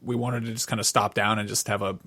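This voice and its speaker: A man's voice: on the low side, level tone, fast talker, sharp tone. A middle-aged man co-hosting a casual podcast, circling his point and repeating phrases as he thinks aloud. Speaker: podcast co-host. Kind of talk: casual conversational podcast chat.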